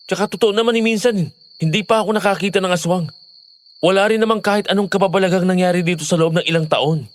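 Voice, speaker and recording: Man playing an earnest, high-voiced young boyfriend. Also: quick pace, a little hiss or hum, lightly acted. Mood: pleading, defensive. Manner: pleading, reassuring. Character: earnest, protective young village boyfriend, skeptical, reassuring. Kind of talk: Filipino audio horror drama acting.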